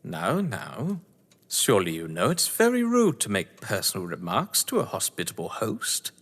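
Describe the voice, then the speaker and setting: An actor playing a suave, sardonic upper-class English novelist, wordy and condescending, in a theatrical audio drama. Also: male voice, lowish voice, wildly swooping pitch, normal speed, fairly bright tone, heavily acted. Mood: dry disdain. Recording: echoey hall-like reverb.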